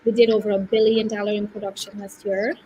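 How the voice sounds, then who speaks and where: A woman's voice: mid-range voice, level tone, quick pace, mellow, slight background noise, rough recording. A middle-aged woman from Romania with a Hungarian-Romanian accent, a guest on a video call interview, talking with confidence and often ending her points with "right?". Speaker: guest real estate broker. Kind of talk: casual interview talk.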